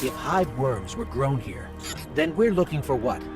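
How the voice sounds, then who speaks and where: A man voicing a small, curious robotic companion in a video game, talking in a casual, wry, questioning way over loud music. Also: medium pitch, sing-song, a little slow. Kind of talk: video game mission dialogue. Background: loud orchestral game score.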